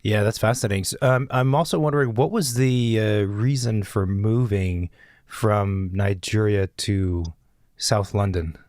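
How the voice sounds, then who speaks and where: Male co-host, interviewer; slightly low voice, inquisitive, dry close sound. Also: unhurried, a little mellow, dry recording. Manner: curious, asks open follow-up questions. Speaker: podcast co-host. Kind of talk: casual interview conversation.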